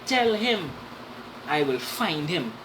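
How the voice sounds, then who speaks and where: A woman's voice: low-pitched, sing-song, slow pace, background noise, exaggerated voice. A woman voicing a proud, indignant male folktale trickster who blusters and scolds in a children's storybook. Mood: furious. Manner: snorting, sputtering, scolding outbursts.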